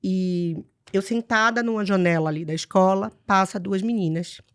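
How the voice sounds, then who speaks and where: A woman in her forties from Salvador hosts a podcast interview and shares memories earnestly, checking in with her guest as she goes. Her voice sits at a medium pitch for a woman. The sound is dry and close, with no room around it. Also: normal speed, clean tone, no room sound. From Salvador, Bahia, Brazil. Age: 40s. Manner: earnest, reflective storytelling with frequent "né?" tags. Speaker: podcast host.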